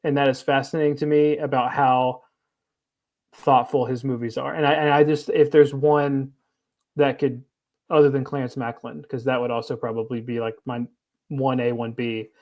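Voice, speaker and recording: A man's voice: medium pitch, flat delivery, a little mellow. A man co-hosting a film podcast, speaking earnestly in a casual chat, often stopping and restarting his sentences. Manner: halting, many restarts, earnest. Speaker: film critic, podcast co-host.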